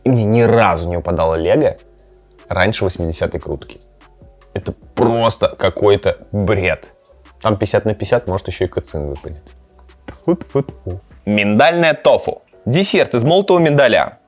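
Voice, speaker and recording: A man with a fairly low voice chats casually as a vlog host, swinging expressively up and down in pitch. His manner is playful and informal, with light music playing behind him. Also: lively intonation, moderate pace. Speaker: host of a gaming and cooking channel. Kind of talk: casual chat to camera with gameplay reactions.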